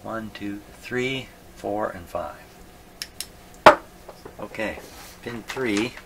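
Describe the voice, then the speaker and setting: A man with a deep voice talks slowly through a hands-on demonstration in a workshop. He mutters to himself and pauses often, thinking aloud. Steady noise sits clearly behind him. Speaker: host of a radio repair video. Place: radio repair workshop.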